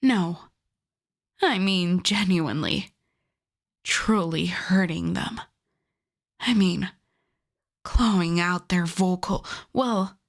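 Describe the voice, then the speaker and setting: A woman plays a doting, possessive wolf girl in an intimate ASMR roleplay. Her voice is on the high side and very breathy, often sinking into a whisper. She speaks extremely slowly, lingering over endearments with a sweetness that has menace in it. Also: exaggerated voice. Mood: menacing, possessive.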